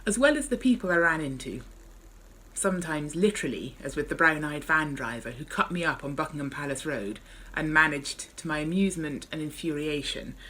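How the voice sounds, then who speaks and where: A woman's voice: sits low, lively intonation, brisk, bright, forceful tone, poor audio. A woman author speaking and reading from her memoir in a wry, understated way.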